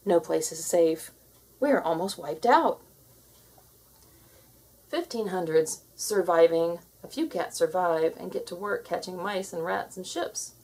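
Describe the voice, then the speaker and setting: A woman reading a children's storybook aloud in an expressive style. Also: on the low side, breathy edge. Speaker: storybook reader.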